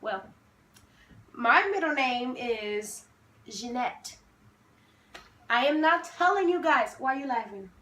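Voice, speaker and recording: A young woman from Haiti with a high, bright voice chats at an easy, unhurried pace. She is playful and self-assured and laughs often. The room adds a noticeable echo. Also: lively intonation. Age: 20s. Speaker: vlogger host. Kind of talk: casual sibling Q&A chat.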